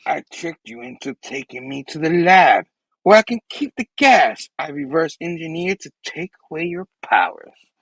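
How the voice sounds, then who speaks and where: A young comic-book superhero, read out by a man, defiant and confrontational. He declaims with lively, moving pitch in a dry, close recording. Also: moderate pace, dry recording, rough recording. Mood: triumphant.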